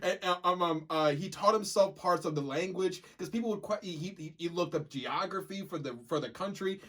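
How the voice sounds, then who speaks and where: A young man chatting as a podcast co-host, voice sitting a touch high for a man. He rambles through his stories, stumbling over restarts and piling up fillers before he gets to the point. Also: moderate pace, fairly bright tone. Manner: rambling storyteller, many restarts and stammered fillers. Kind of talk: casual chat.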